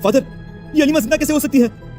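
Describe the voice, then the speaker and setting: A man's voice: very high-pitched, fast, warm tone, soft background music. A man voicing a greedy, scheming villager in a horror cartoon, agitated and cowardly. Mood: shocked, anxious. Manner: agitated, stammering with fear. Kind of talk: Hindi horror cartoon voice acting.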